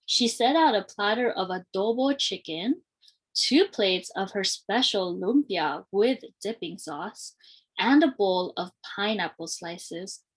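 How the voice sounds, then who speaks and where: Slow, expressive woman reading a storybook. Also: mid-range voice, rough recording. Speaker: children's read-aloud reader. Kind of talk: storybook narration for children.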